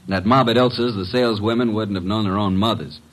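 A male actor plays a tough, breezy legman from an old radio detective show. His voice is low and manly. He talks in brisk, slangy lines with a wisecracking edge. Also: steady tone, natural voice, low-quality recording. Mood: reporting briskly. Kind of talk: old-time radio detective drama acting.

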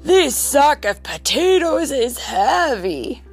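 A woman's voice: high-pitched, sing-song, slow pace, faint music behind, voice pushed up, heavily acted. A woman voicing a patient, playful, teasing cartoon dog dad in an expressively read storybook.

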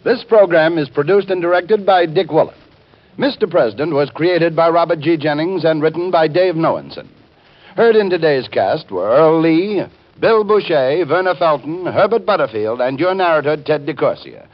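Higher-voiced American male announcer, old radio. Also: expressive, normal speed. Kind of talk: 1940s radio credits announcing. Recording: dull vintage radio recording.

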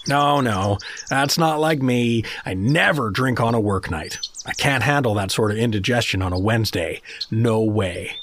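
An actor playing a friendly, hapless middle-aged American dad from South Dakota, chummy and rambling, in a comedic fantasy audio drama. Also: male voice, mid-range voice, moderate pace, a bit bright, slight background noise, natural voice. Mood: folksy, friendly.

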